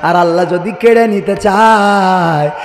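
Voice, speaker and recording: Young bangladeshi guy preaching waz, really high voice, half chanting, asking for donations n responses, big echoey reverb. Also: a little slow, soft background music. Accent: Bangladeshi Bengali. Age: young adult. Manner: loud declamatory delivery slipping into singsong chant and asking the crowd for responses. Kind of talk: waz sermon with donation appeals and prayers. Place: stage at a religious gathering. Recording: long hall reverb from amplified sound system.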